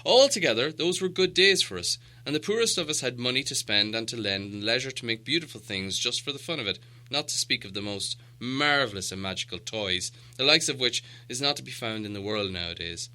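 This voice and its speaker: A proud old dwarf lord acted out by a male reader tells his people's history like a storybook elder. He is solemn and grandiose, with a very bright, forceful edge to a middle-pitched voice. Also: expressive, fairly quick, few pauses. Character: proud, solemn old dwarf leader recounting lost glory. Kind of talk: audiobook character dialogue.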